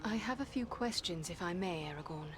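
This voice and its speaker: A woman's voice: on the low side, animated, brisk, lightly acted. An actress playing a serious elf woman in a fantasy game, with formal, composed delivery. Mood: quiet, questioning. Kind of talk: dramatic fantasy game dialogue. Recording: orchestral game music behind.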